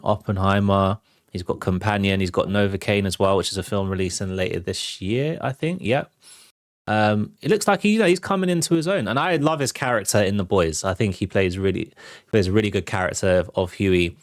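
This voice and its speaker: A man's voice: on the low side, no room sound, clean studio sound. A British man hosting a movie trailer show, giving casual reaction commentary.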